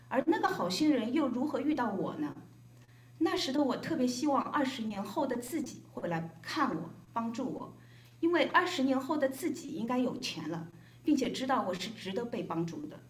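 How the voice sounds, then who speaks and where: A woman's voice: a little high, big pitch swings, fairly quick. A woman from Shanghai, a volunteer reading a prepared, reflective speech at a gathering. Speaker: volunteer speaker. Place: hotel event room. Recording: echoey event room.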